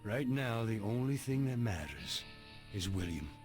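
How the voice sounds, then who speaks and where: An actor playing a blunt, commanding big-brother gunfighter in a western video game cutscene. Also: male voice, lowish voice, expressive, measured pace, a little mellow, faint music behind. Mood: curt. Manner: terse, commanding.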